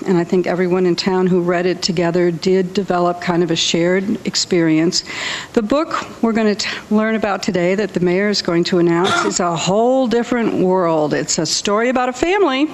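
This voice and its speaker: A woman hosting a public event, giving a welcome speech and introducing speakers. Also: a little fast. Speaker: library host introducing speakers at a launch event. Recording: echoey hall, rumbling room noise.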